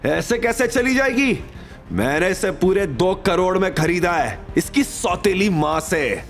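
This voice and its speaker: An arrogant, bullying male villain in an audio drama, put on by a man. His voice is pushed high and swings with outrage, with music underneath. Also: animated, a little slow. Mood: gloating outrage. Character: arrogant, angry male nightclub-owning villain. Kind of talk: Hindi audio drama acting.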